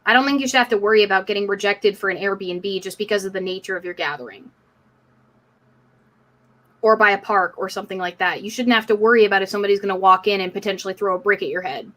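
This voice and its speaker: A woman's voice: mid-range voice, quick pace, fairly bright tone. A woman hosting a live community discussion stream, speaking in an emphatic, outspoken, casual way. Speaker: livestream host.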